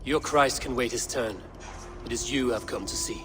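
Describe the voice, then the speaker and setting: An actor playing a bold pagan Viking warrior with a sardonic, direct manner in a video game. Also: male voice, medium pitch, moderate pace, noisy background, natural voice. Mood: defiant, sardonic. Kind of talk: dramatic video game dialogue.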